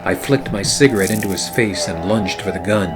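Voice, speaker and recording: A man playing a wry, hard-boiled private eye and war veteran, narrating a noir radio play in a terse, deadpan way. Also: on the low side, fairly quick, natural voice. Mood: tense. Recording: music behind the voice. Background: music.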